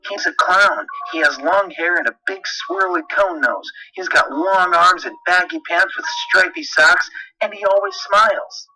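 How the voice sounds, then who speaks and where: A man voicing a quiet, imaginative five-year-old boy in a horror story, with a childlike way of talking. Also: sits high, a little slow, hardly any pauses, recorded from a distance, voice pushed up, exaggerated voice, poor audio. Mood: innocent, pleased.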